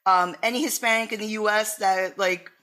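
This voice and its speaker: A woman's voice: medium pitch, steady tone, normal speed, pushed and bright. Brash, profane woman comedian from Miami. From Miami, USA. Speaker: comedian guest. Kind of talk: casual chat.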